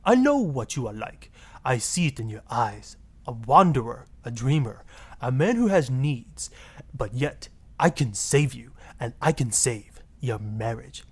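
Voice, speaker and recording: A man's voice: medium pitch, big pitch swings, unhurried, breathy edge, exaggerated voice. A man voicing a suave, sleazy marriage guru with a Spanish accent, florid, seductive and pompous, in a satirical game radio style. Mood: seductive, preachy. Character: suave, sleazy Latin male marriage guru, grandly passionate.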